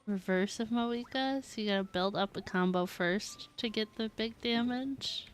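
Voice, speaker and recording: A woman's voice: on the high side, unhurried, soft background music. A woman livestreamer chatting casually with viewers over a game, in a playful, teasing, giggly way. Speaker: livestreamer, VTuber. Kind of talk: casual gaming stream chat with viewers.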